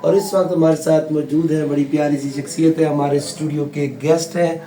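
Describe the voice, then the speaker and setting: A Pakistani man speaks as a formal, polite radio interviewer. His voice sits a little high. The room is clearly echoey, with faint noise behind him. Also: fairly even intonation, a little fast, mellow. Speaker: radio host interviewing a guest. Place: radio studio. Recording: roomy echo, faint background noise.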